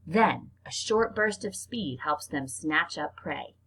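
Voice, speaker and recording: A woman reads nonfiction aloud in an expressive, informal way, like reading a book to children. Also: low voice, slow, husky, rough recording. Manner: expressive, informal reactions between passages. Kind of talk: read-aloud narration with casual spoken asides.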